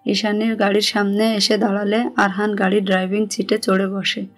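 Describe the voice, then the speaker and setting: A woman's voice: mid-range voice, little pitch movement, moderate pace. A woman with a Bangladeshi accent reading a scripted romantic story as its narrator. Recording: music bed behind the voice.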